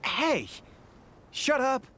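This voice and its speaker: A man playing an excitable, boastful and touchy mercenary in a video game. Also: very high-pitched, drawn-out words, slight background noise, heavily acted. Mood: indignant.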